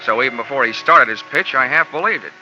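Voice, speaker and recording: A man's voice: medium pitch, lively intonation, fast. A man narrating as a wisecracking insurance investigator in a 1950s radio drama, with a dry hard-boiled delivery. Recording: lo-fi old radio broadcast with music.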